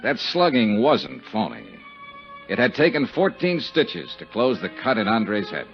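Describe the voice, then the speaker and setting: An actor playing a sardonic, flirtatious American investigator with clipped, wry speech in 1950s radio detective style. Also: male voice, mid-range voice, very animated, unhurried, vocal fry. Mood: brooding narration. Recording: dull old radio recording with music.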